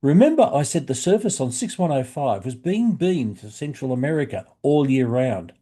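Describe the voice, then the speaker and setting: An Australian man narrating a hobby explainer video in a measured, instructive way, addressing the listener in a friendly manner. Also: medium pitch, big pitch swings, warm tone. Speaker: shortwave radio hobbyist presenter.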